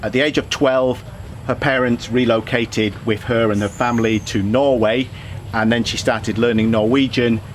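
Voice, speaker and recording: A man with a Yorkshire accent hosts an interview at a mid-range pitch. He asks friendly questions and keeps answering with a short "right". Faint background noise is heard. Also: steady tone, normal speed. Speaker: podcast host. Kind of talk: casual interview.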